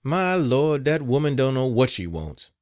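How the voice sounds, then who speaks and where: A man's voice: mid-range voice, sing-song, unhurried, darkish tone, dry recording, studio quality, voice pushed up. A man voicing a long-suffering older woman cook who mutters exasperated complaints, in a raised voice.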